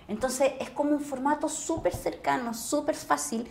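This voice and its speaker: Chilean actress chatting in an interview, a bit high pitched, emphatic, repeats herself. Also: female voice, moderate pace, darkish tone. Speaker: actress, podcast guest. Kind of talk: casual conversational interview.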